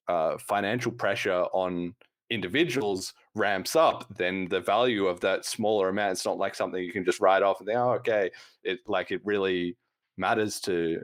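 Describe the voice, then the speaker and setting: A man's voice: sits low, dry recording. A man hosting a podcast, talking at length in a casual, explanatory way. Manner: talkative, explains at length, laughs now and then. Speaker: podcast host.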